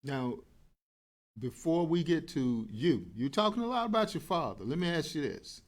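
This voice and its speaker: Gravelly male radio host, teasing. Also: a little high, big pitch swings, normal speed. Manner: playful, teasing questions. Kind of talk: radio interview.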